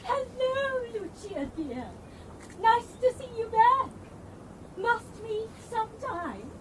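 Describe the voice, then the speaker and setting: An actress playing a breezily evasive neighbour, calling out in short phrases in a radio comedy drama. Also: female voice, very high-pitched, very animated, takes it slowly, exaggerated voice. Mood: breezy, evasive. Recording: distant from mic, faint noise.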